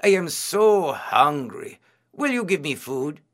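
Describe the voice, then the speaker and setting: A man voicing a storybook god disguised as a hungry priest, drawn-out and declamatory. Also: mid-range voice, animated, vocal fry, pushed and bright, heavily acted. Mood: pleading, calling.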